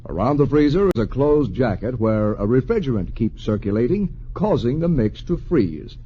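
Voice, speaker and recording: An actor playing a matter-of-fact ice cream plant worker in an old radio documentary, with plain, explanatory talk. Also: male voice, medium pitch, slight background noise. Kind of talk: 1940s radio acting. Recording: dull old radio broadcast.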